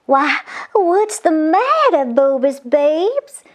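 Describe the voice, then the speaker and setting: A woman voicing a kindly, helpful female storybook butterfly in a drawn-out, sing-song way. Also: high-pitched, big pitch swings, exaggerated voice. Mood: gracious.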